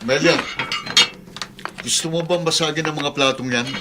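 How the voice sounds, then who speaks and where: Man playing a high-pitched, principled husband. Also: steady tone, normal speed, very noisy, natural voice. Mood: exasperated. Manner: firm, reasoning. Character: dutiful, principled husband standing up for his kin. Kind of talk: Filipino radio drama acting.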